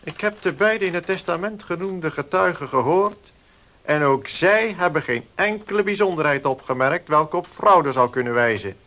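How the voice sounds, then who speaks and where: An actor playing a formal, cautious village notary in a radio play, speaking in a measured, officious manner. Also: male voice, high voice, sharp tone, full character voice. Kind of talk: Dutch radio play acting.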